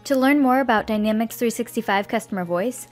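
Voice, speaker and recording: A woman's voice: fairly high pitch, a little fast. Woman narrating over music. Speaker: product demo narrator. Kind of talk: scripted narration. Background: background music.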